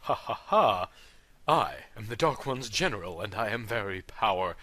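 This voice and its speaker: A man growling as a deep gloating bat-villain general. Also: animated, moderate pace, sharp tone, no echo.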